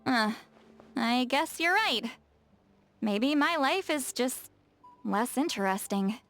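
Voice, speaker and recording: Bookish lazy game shaman girl, kinda high voice, super swoopy and defensive, music behind. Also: slow, full character voice. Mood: languid, philosophical. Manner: expressive, defensive, hesitant asides and self-corrections. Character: touchy, lazy, bookish female shaman, youthful but secretly very old. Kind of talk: video game character voice acting.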